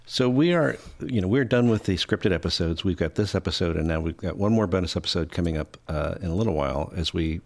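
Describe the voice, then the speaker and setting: Very deep-voiced man hosting a podcast. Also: quick pace, hardly any pauses. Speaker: podcast host and cast member. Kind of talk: casual group podcast chat.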